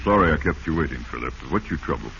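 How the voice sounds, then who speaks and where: A man acting as an older, paternal family physician in a 1940s radio drama, measured, reassuring and blunt. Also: very deep voice, expressive, fairly bright tone, exaggerated voice. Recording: noisy, muffled 1940s radio recording.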